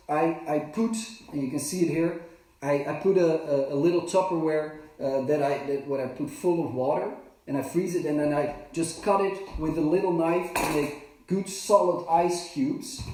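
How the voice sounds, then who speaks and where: Bartender guy at home, kinda high voice, lots of uh and restarts, mic far off, super echoey kitchen. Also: a little mellow. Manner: halting, many fillers and restarts. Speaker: bartender and cocktail trainer. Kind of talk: casual live demonstration.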